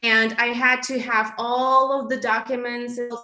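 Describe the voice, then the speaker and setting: A woman co-hosting a casual online panel, chatty and lively, on a video call. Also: on the high side, echoey room. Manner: lively, chatty, quick recaps. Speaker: co-host.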